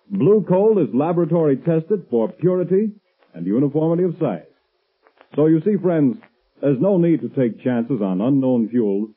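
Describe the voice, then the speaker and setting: A man's voice: a little high, breathy edge. An American man announcing a 1930s radio commercial in a formal, emphatic sales manner. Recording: muffled old 1930s radio recording.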